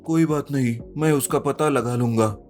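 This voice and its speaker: A man's voice: medium pitch, moderate pace, darkish tone, lightly acted. A man playing an earnest, polite young man in a narrated story. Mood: grateful. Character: earnest young man in trouble, wealthy heir. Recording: music behind the voice.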